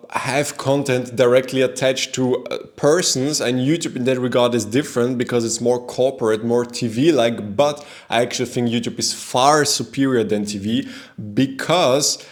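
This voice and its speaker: Young man from Austria, non-native English speaker, earnest vlog monologue, thoughtful, restarts sentences. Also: medium pitch. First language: not English. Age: young adult. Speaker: video creator and freelancer. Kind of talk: reflective talking-head vlog.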